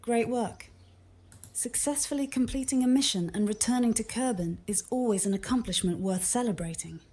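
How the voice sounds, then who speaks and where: A woman's voice: on the high side, normal speed, a little mellow. A synthetic woman's voice serving as an AI game copilot, speaking in a formal, encouraging way. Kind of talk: synthetic assistant speech.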